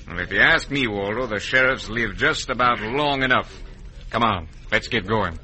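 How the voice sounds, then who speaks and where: An actor with an American accent playing a bossy, scheming outlaw boss in an old radio western, talking folksy and forceful. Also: male voice, lowish voice, moderate pace. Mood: menacing. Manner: forceful, folksy cowboy talk. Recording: noisy old radio recording.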